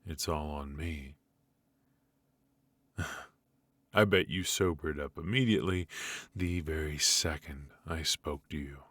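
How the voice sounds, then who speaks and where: Male mafia boss, acted by a man, extremely deep and husky, calm, controlling menace, intimate dry noir ASMR roleplay. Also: no room sound, full character voice. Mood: mock-courteous. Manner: measured, mock-courteous, quietly threatening. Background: faint music.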